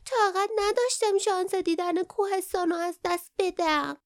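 Storybook creature child: tiny, high-pitched, breathless and wide-eyed, eager, innocent, brave. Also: female voice. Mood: eager, weary.

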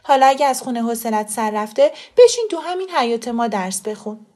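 A woman voicing a wary, gently disapproving mother in an audiobook story. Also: fairly high pitch, expressive, natural voice. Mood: firm, wary.

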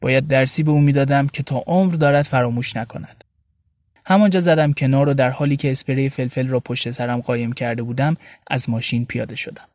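A man's voice: medium pitch, steady tone, darkish tone, no room sound, studio quality, lightly acted. A man narrating an audio story in the first person as a sardonic, feisty young woman, in wry, colloquial storytelling. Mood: irritated, sardonic. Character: young Tehrani woman, sardonic, feisty, recounting her days. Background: light music bed.

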